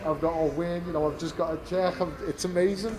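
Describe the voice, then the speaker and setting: A man's voice: a little high, steady tone, fast talker, poor audio. A British man in his twenties, a fighter talking candidly after a bout, rambling with many fillers and restarts. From United Kingdom. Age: 20s. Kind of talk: post-fight press interview. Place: fight venue media area. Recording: crowd noise behind. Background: crowd chatter.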